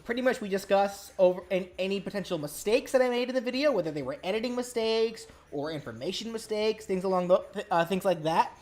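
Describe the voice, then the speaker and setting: A woman's voice: mid-range voice, fast talker, few pauses, darkish tone. A woman hosting a casual live stream, rambling and restarting her words as she talks to chat.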